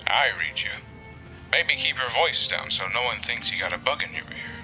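A man playing a gruff older mentor who talks in a dry, terse way in a fan audio drama. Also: mid-range voice, animated, normal speed, pushed and bright, faint music behind, low-quality recording.

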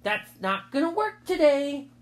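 A woman's voice: sits high, big pitch swings, unhurried, pitched above the performer's own voice. A woman voicing an exasperated mother of young children in a storybook, firm and scolding but loving. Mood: stern.

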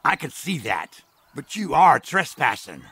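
An actor playing a suspicious, prickly old farmer in an audio drama, folksy and blunt. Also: male voice, fairly high pitch, sing-song, measured pace, pushed and bright, slightly rough, full character voice. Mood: defensive, stern. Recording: faint outdoor insect ambience behind.

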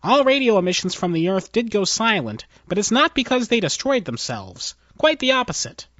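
A man's voice: high voice, sing-song, moderate pace, fairly bright tone, no echo, highs cut off, voice pushed up. A man voicing a nervous, deferential alien chief technologist in a science fiction audio story, speaking hesitantly. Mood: nervous, explaining.

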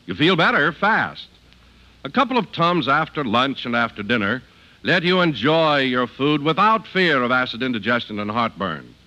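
A man's voice: mid-range voice, sing-song, moderate pace, bright, forceful tone, rough recording. A man announcing in polished, emphatic 1950s radio style, reading scripted copy.

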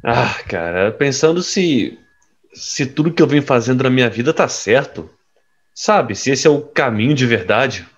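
An actor playing a brash, cocky young rich playboy in an audio drama, with slangy, teasing speech. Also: male voice, medium pitch, expressive, normal speed, muffled recording, close to an everyday voice. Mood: pensive.

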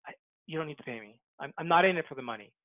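A man's voice: fairly high pitch, animated, rapid-fire, no room sound. Man with a tinny, dry call-line voice. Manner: persuasive, repeats his core point. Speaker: guest, book author. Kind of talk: interview answers. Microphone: headset on a video call.

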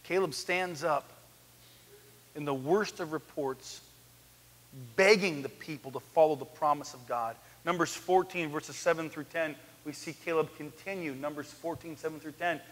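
A man in his thirties preaching an animated sermon on a church stage, swinging from loud to hushed. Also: fairly high pitch, normal speed. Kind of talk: lively sermon. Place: church sanctuary, large, indoors. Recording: echoey church hall.

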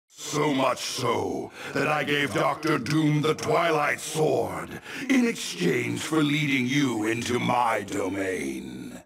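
A man playing a menacing, gloating demon lord in a video game, with a husky, gravelly voice and a grandiose, commanding, theatrical delivery. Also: medium pitch, a bit bright, heavily acted. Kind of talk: video game villain acting.

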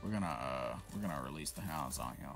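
A man with a deep, gravelly voice talks through a shooter game in a loose, casual way. His pitch swings widely as he reacts, often swearing. Faint game music plays underneath. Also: expressive, quick pace. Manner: swears freely, reacts loudly to in-game events. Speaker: gameplay commentator. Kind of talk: casual gameplay commentary.

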